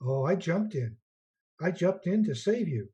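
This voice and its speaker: A man playing a husky, gentle apprentice angel. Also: sits high, animated, a little slow, heavily acted. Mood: earnest, flustered. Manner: earnest, quaint and polite. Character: gentle, eager, naive male apprentice guardian angel. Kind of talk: 1940s-style radio play acting.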